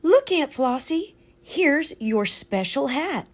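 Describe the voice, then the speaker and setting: A woman's voice: high-pitched, sing-song, slow pace, pitched above the performer's own voice, heavily acted. A woman voicing an eager, curious little girl in a children's storybook, with an insistent manner.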